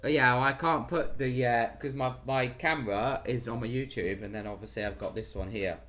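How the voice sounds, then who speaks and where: A British man with a hoarse, worn voice, chatting casually as the host of a live stream. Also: mid-range voice, normal speed, fairly bright tone, slight background noise. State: hoarse from losing his voice.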